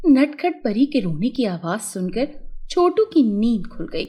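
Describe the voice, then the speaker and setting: Lady telling a kids fairy story, really animated, soft mellow tone. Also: fairly high pitch, measured pace, husky. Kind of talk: scripted children's fairy tale narration.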